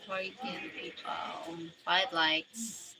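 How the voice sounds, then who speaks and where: A woman's voice: on the low side, extremely slow. A Filipino woman in her forties, chatting casually on a live stream, greeting viewers and thanking them one by one. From Philippines. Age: 40s. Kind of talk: casual live chat, reading out viewer comments. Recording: loud background noise.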